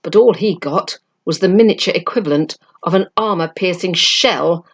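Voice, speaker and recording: A woman narrates a storybook in a lowish voice. Her reading is scripted and expressive, with a dramatic feel for the action. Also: normal speed, husky. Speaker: amateur book reader.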